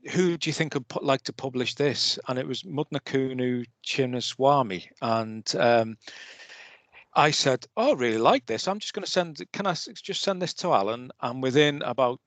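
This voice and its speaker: A man, a poetry magazine co-editor, talking casually on a panel and telling stories with lively quoted asides. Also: medium pitch, no room sound. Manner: chatty, anecdotal, quotes himself animatedly.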